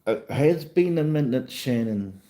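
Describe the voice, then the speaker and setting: A man's voice: mid-range voice, animated, a little slow, warm tone. A man with a New Zealand accent hosting a casual livestream chat in an easygoing, rambling way, often repeating himself. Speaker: livestream host and vlogger.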